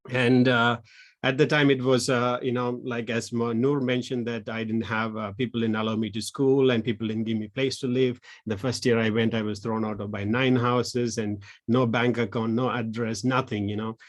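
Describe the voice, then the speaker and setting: A man in his thirties from Sri Lanka, a social entrepreneur giving an online presentation, speaking haltingly with many restarts. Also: medium pitch, flat delivery.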